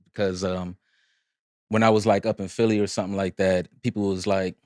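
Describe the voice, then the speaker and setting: A man speaking Arabic as his first language, chatting as a podcast guest in a relaxed, rambling way with lots of fillers. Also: low-pitched, a little fast, dry recording, studio quality. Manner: laid-back, rambling with many fillers. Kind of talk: casual interview chat.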